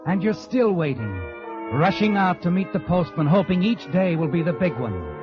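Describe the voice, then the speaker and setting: Sardonic, high-pitched suspense narrator over music. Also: male voice, very animated, normal speed. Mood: knowing, suspenseful. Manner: insinuating, theatrical. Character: sardonic, knowing male narrator addressing the heroine. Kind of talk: 1940s radio suspense narration. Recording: dull vintage radio recording. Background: dramatic music.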